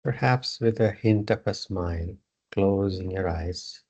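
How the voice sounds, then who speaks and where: A man teaching yoga, guiding a meditation in short phrases with long pauses between them. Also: low-pitched, a little mellow. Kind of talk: guided meditation instruction.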